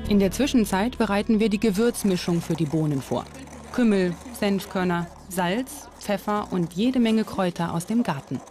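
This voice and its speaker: A woman reads scripted documentary narration in a presenter's role. Her voice sits at an ordinary middle pitch for a woman, with music playing softly behind it. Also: moderate pace.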